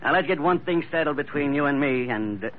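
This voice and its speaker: 남자 배우가 연기하는 냉혹하고 음흉한 진주 밀렵꾼. 낮게 위협하듯 말하는 1940년대 라디오극 목소리로, 먹먹한 옛 방송 음질에 잔잡음이 깔려 있다. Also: male voice, on the high side, expressive, brisk, natural voice. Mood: scheming. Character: ruthless scheming male pearl poacher, cold. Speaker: pearler Labrado. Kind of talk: 1940s radio acting. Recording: dull old radio broadcast, faint hiss.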